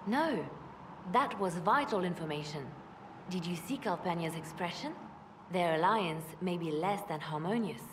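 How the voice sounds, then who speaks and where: Woman acting a cool spymaster, lowish voice. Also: lively intonation, close to an everyday voice. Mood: intent. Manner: measured, intent, secretive. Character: cool, calculating female spymaster. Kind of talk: fantasy video game acting. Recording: noisy echoing hall.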